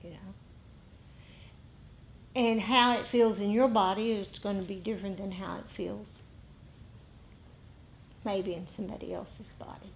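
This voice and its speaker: A woman giving an informal talk as a meditation teacher, speaking reflectively and haltingly, often pausing and repeating phrases. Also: mid-range voice, steady tone, vocal fry, a little hiss or hum. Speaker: meditation teacher leading a group. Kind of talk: informal dharma talk with group discussion.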